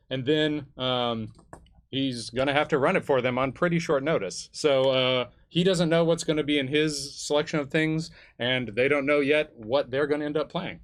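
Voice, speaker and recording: A man hosting a live game stream, talking casually to his guest and viewers. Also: on the high side. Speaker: stream host.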